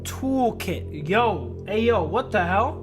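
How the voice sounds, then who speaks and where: A woman's voice: medium pitch, expressive, slow. A woman live-streaming a game, reading its text aloud and adding casual, slangy commentary. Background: music.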